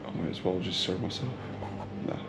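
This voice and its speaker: A young man with a low, gravelly voice talks casually to the camera while he walks. He thinks out loud and fills gaps with ums. Loud street traffic and town bustle come through the action camera recording. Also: a little fast. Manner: chatty, thinking aloud with many ums. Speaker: travel vlogger. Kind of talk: casual vlog chat while walking. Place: outdoor town streets and a bakery. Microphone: action camera mic. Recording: noisy outdoor street recording. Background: street traffic and town ambience.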